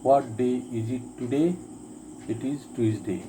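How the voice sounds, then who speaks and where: A man teaching a spoken lesson, reading each drill sentence twice with deliberate care. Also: mid-range voice, background noise. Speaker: English teacher. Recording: crickets and insects chirping behind.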